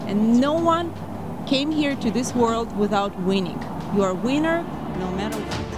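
A woman's voice: fairly high pitch, lively intonation, moderate pace. A young woman giving earnest casual interview answers in non-native phrasing, outdoors on a handheld mic. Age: young adult. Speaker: audition contestant. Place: outdoors beside a wall with a queue. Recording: music behind, outdoor street ambience.

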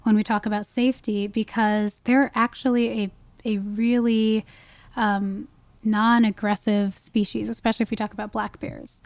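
A woman's voice: mid-range voice, level tone, moderate pace, no room sound. A woman speaking as an expert guest in a conversational podcast interview, explaining things with emphasis. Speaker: guest, wildlife ecologist.

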